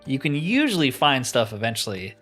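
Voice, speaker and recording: A man plays a fantasy game and talks through it casually as he goes. His medium-pitched voice is conversational and wry, often musing aloud. Faint music plays behind him. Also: big pitch swings, a little fast, fairly bright tone. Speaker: gamer playing and commentating a fantasy roleplay game. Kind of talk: casual gameplay commentary.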